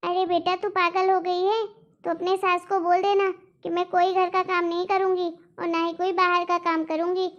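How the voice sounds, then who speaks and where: A woman's voice: extremely high pitch, level tone, moderate pace, lo-fi sound. A woman voicing a domineering, scornful mother-in-law who scolds emphatically in a cartoon family melodrama. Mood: scolding.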